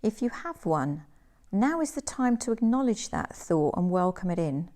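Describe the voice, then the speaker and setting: A woman leading a guided relaxation meditation as a yoga teacher, soothing and gentle, in measured phrases with pauses. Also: mid-range voice, big pitch swings.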